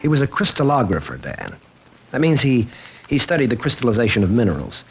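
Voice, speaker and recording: An old radio recording with faint music features a troubled college teacher. His American voice sits at a middling pitch with some movement, halting and earnest, in 1940s drama style. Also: big pitch swings. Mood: explaining. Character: troubled, hesitant male college teacher confiding in a friend.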